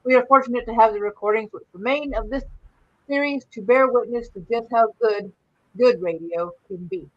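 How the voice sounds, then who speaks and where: A woman's voice: fairly high pitch, normal speed. A woman hosting a show of old radio programs, moving between casual chat and reading out program notes. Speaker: host of an old-time radio show stream. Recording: dull, lo-fi sound.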